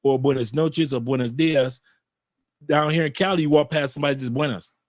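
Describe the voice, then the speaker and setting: A man's voice: a little high, brisk, dry recording. A man talking as a podcast guest, telling stories casually and repeating words for emphasis. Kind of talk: casual interview chat.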